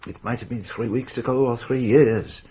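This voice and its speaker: An actor playing a courteous, probing, mature detective in a radio mystery, speaking formally and precisely. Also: male voice, mid-range voice, slightly rough. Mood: gently probing. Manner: formal, courteous, measured questioning. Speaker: detective questioning witnesses. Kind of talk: radio mystery drama acting. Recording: muffled radio drama recording, background noise.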